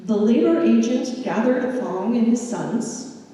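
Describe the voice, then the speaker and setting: A woman presents history in a slow, measured storytelling manner. Her voice is mellow and warm in tone. She sounds distant from the mic, with the long echo of a large lecture hall around her. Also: a little high, lively intonation. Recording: echoey hall from far off.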